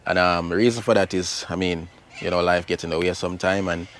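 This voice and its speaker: A man's voice: low-pitched, flat delivery, brisk, a bit bright, a little hiss or hum. A man from Jamaica with a Jamaican accent, chatting casually in his backyard garden as a channel host, laid-back and full of fillers. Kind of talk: casual garden vlog chat.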